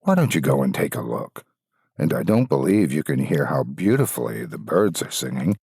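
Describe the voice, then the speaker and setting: A man's voice: extremely low pitch, sing-song, moderate pace, a little mellow, dry recording, clean studio sound, exaggerated voice. A man voicing a sly, hungry wolf in a fairytale, coaxing and menacing. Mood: wheedling. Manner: smooth-talking, wheedling, menacing. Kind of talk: fairytale storybook reading.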